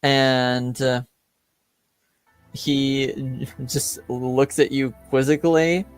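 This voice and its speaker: A man narrating a tabletop game as game master in a casual, improvised, halting way. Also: mid-range voice, little pitch movement, smooth, soft background music.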